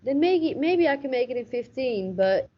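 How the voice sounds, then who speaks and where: A young woman playing a cynical, hardboiled police lieutenant with deadpan, tough-talking noir narration in a radio play parody. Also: high voice, normal speed. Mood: flustered. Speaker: student radio play actor. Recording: dull, muffled lo-fi recording.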